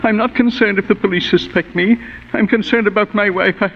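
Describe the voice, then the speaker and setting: High, creaky, troubled older gentleman. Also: fairly even intonation, brisk. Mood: distressed, insistent. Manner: formal, grave, pleading. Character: anxious, formal older wealthy husband and father. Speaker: actor in a radio drama. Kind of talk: 1940s radio drama acting. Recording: muffled, reverberant, noisy old radio broadcast.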